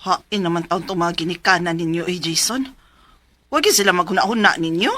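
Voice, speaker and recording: An actress with a Cebuano Filipino accent playing a concerned, generous shopkeeper neighbor who fusses and mildly scolds in a radio drama. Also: female voice, lowish voice, fairly quick, sharp tone, a little hiss or hum. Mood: fussing, scolding.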